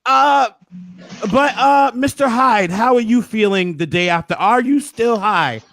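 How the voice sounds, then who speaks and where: A hoarse man hosting a casual recap, hyping things up with preacher-like flourishes. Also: very high-pitched, unhurried, a bit bright, dry recording. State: hoarse, losing his voice. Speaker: host.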